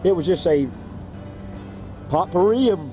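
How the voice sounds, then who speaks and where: Man, show host, folksy drawling interviewer, slightly high voice, faint music behind. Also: expressive, unhurried, mellow. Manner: folksy, drawling, chatty with fillers. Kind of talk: folksy interview at a horse expo. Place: outdoors at a horse event.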